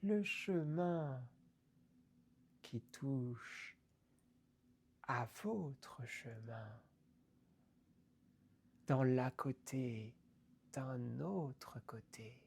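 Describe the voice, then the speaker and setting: Woman poetry reader: very slow, low-pitched, halting and theatrical delivery. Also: gravelly, a little mellow, husky. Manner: deliberate, halting, broken into short phrases.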